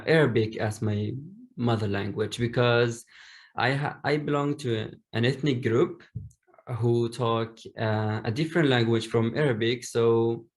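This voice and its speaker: A young man whose first language is Domari, speaking carefully and with many pauses as a panelist on a video call. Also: mid-range voice. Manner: slow, careful, pausing often. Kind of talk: online panel discussion.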